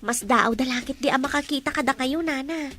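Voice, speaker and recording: A woman with an Ilocano Filipino accent playing a gentle, respectful young daughter in an audio drama. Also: high voice, a little fast. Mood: cheerful. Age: young adult. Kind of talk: radio-drama dialogue.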